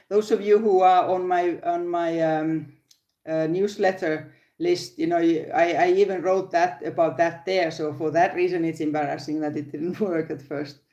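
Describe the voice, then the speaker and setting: Older Finnish-accented woman, halting live chat. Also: deep voice, mellow, reverberant, dull audio. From Finland. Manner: halting, restarts sentences, laughs often. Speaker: crochet channel host. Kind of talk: casual live-stream chat. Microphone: phone.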